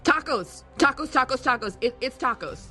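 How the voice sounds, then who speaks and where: A woman's voice: high voice, expressive, normal speed, sharp tone, soft background music. A woman playing an earnest, flustered young circus adventurer in an audio drama, self-deprecating and a little hesitant. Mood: panicked. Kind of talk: audio drama character acting and narration.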